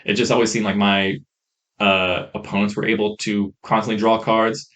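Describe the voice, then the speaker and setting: A man co-hosting a podcast, reviewing games in a casual, enthusiastic ramble on a rough-sounding mic. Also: on the low side, flat delivery, moderate pace, a bit bright. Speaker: podcast co-host. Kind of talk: casual chat, game review.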